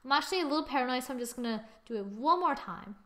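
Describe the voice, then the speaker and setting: Casting: young female tutorial presenter, bright higher register, informal, playful, prone to little sing-song exclamations. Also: lively intonation, very fast. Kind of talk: casual software tutorial narration. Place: screen-recorded voice-over.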